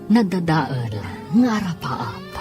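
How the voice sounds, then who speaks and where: A woman's voice: deep voice, sing-song, slow pace. A woman delivering scripted, dramatic narration as the storyteller of a drama. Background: music.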